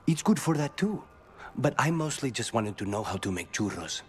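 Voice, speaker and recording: An actor playing a dry-witted, brooding assassin in a fantasy game, understated and deadpan. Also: male voice, mid-range voice, moderate pace, faint music behind, close to an everyday voice. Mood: wry.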